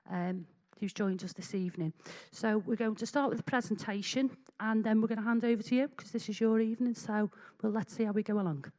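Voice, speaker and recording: A middle-aged woman with a Liverpool accent chairing a public meeting in a hall, chatty and informal as she runs through housekeeping. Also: on the high side.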